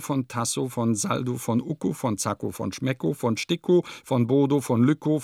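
An actor playing a wry diarist of bygone provincial life in a radio play, with deliberate, declamatory phrasing. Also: male voice, mid-range voice, gravelly, a little mellow, no room sound, clean studio sound, natural voice. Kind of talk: literary radio play monologue.

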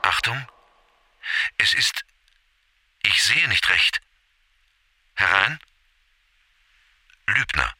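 A man reciting literary quotations in a crisp, deliberate manner. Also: lowish voice, animated, sharp tone, dry recording, clean studio sound, exaggerated voice.

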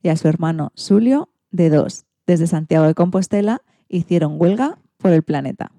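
Low, mellow-voiced Spanish woman presenter. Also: animated, moderate pace, dry recording. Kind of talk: scripted narration introducing recorded messages.

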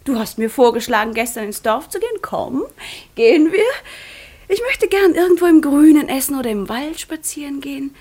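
A woman acting a proud, sharp-tongued, wounded young noblewoman in a German radio drama, theatrical, often dropping to a whisper. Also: sits high, expressive, a little fast. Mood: teasing, coquettish. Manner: theatrical, teasing, accusing.

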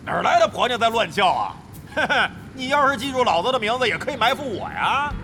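Audio drama rogue: cocky ageing gamer, very high-pitched, coarse and taunting, ringing in long reverb over music. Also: male voice, big pitch swings, brisk, sharp tone, a little hiss or hum, heavily acted. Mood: mocking, lewd. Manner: brash, taunting, coarse-tongued and long-winded. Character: crude, cocky older male veteran gamer, bandit-like taunter.

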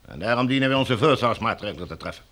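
An actor playing an officious, domineering commander in a Dutch radio drama, with a formal, firm, bureaucratic way of talking. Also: male voice, mid-range voice, animated, fast, fairly bright tone, lightly acted. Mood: calculating, authoritative.

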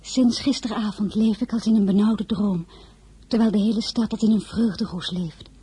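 A woman's voice: medium pitch, dark tone, slightly rough, dry recording, poor audio. A woman playing an earnest young merchant's daughter in a radio drama, who also narrates her story. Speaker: narrator and lead character.

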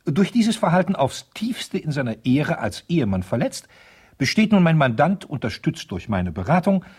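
An actor playing a vain, insistent divorce lawyer in a 1970s radio play, reading out formal letters with pompous, stilted diction and a husky voice. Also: male voice, on the high side, big pitch swings, moderate pace, darkish tone.

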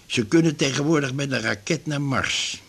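A man's voice: medium pitch, normal speed, vocal fry, a bit bright, slightly rough. An actor playing an aggrieved, garrulous retired glue salesman in his fifties in a radio drama, rambling and grumbling. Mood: rueful. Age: 50s. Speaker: patient in a doctor's office. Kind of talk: naturalistic radio drama acting.

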